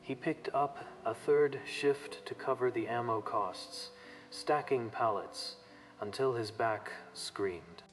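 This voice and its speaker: A man's voice: medium pitch, slow, a little hiss or hum. A man narrating a dramatic manga story from a script.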